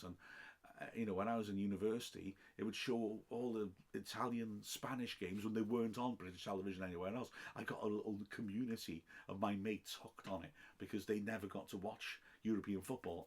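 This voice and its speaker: A man's voice: lowish voice, darkish tone. A middle-aged man with a Welsh accent, a football commentator chatting casually and self-deprecatingly through listener questions on a podcast. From Wales. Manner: chatty and self-deprecating, with frequent ums and restarts.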